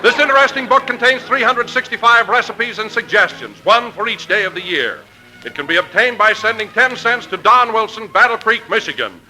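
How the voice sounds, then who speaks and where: A man delivers a 1940s radio commercial with polished, emphatic salesmanship. His voice is high and strikingly bright, with faint music in the broadcast. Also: fairly quick, low-quality recording. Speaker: commercial announcer. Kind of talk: 1940s radio advertising read. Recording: vintage radio broadcast recording.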